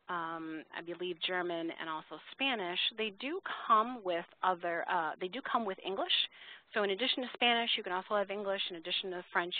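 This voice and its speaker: A woman presenting a webinar in an informal, explanatory way, thinking aloud as she takes questions. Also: fairly low pitch. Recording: tinny, dry phone-line audio.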